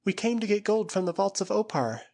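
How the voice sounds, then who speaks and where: A man reading an adventure novel aloud, voicing a frightened young woman who was once a lady's maid, in a high, pleading voice. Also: animated, brisk, vocal fry, darkish tone. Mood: fearful.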